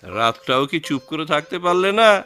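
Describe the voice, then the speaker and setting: A man acting as a gentle, devoted grown son in a Bengali radio drama. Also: fairly high pitch, expressive, moderate pace, clean tone. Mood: affectionate, curious.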